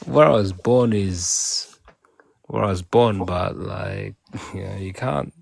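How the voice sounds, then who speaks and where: A man's voice: sits low, slow pace, gravelly, no echo, studio quality. A man from South Sudan chatting casually on a live audio stream, rambling and restarting his phrases.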